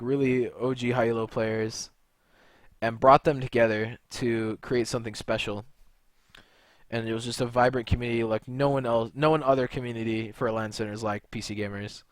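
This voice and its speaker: A man's voice: mid-range voice, dry recording. A young man from California talking in a rambling, blunt monologue, full of fillers and restarts. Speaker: video creator and gamer. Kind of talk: unscripted monologue to camera.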